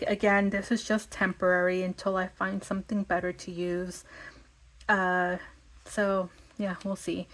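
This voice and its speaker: A woman with a slightly raspy voice, chatting casually and thinking aloud with lots of fillers as she plans her week. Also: medium pitch, low-quality recording. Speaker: vlogger. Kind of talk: casual planning chat.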